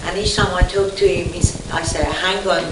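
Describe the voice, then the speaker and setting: A woman's voice: lowish voice, fairly even intonation, normal speed, heavy background noise. A woman from Iran with an Iranian accent, asking a question from the audience, hesitant and searching for words. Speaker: audience member. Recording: distant audience mic in an echoey hall.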